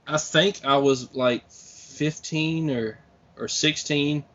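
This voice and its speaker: A man in his late twenties, a podcast guest, calmly reminiscing about his early days as a gamer. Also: fairly high pitch, slow pace. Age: 20s. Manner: reminiscing, earnest, steady. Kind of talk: casual chat. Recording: dull lo-fi podcast mic.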